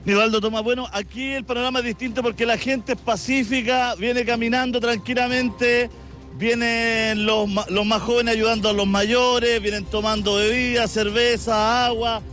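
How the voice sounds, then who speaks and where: A man's voice: extremely high pitch, little pitch movement, moderate pace, fairly bright tone, faint music behind. A man with a Chilean accent reporting live from a busy city avenue outdoors, talking conversationally and asking passers-by short questions. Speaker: street reporter. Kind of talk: live field news report with street interviews.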